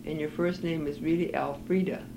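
A woman's voice: deep voice, steady tone, brisk, vocal fry. A woman conducting an oral history interview in an apartment, patiently prompting and repeating her questions. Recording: hissy 1980s cassette tape.

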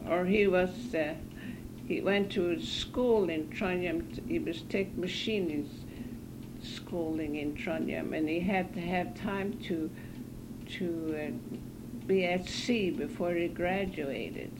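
A woman in her nineties from northern Norway, recalling her life haltingly in an oral history interview in her apartment. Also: on the low side. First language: Norwegian. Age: 90s. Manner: halting, hesitant, self-correcting. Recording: hissy 1980s cassette tape.

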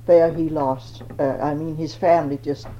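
Older lady, low mellow voice, slow halting talk, dull hissy old tape. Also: fairly even intonation. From Denmark. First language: Danish. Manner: halting, searches for words. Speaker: interviewee. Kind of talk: oral history interview.

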